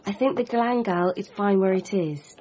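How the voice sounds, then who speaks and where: Sensible young partner, radio drama, female actor; practical, kindly, medium pitch, faint noise behind. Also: normal speed, muffled recording, natural voice. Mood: caring, firm. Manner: gentle, practical. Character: sensible, caring, gently firm girlfriend. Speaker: doctor and girlfriend in the story. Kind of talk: radio comedy drama acting.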